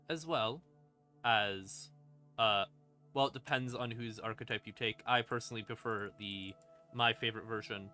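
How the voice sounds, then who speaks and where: A man explains fantasy game lore to stream viewers in a bright, forceful voice. He takes his time, halting for dramatic pauses like a storyteller. Eerie ambient music plays faintly behind him. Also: on the low side.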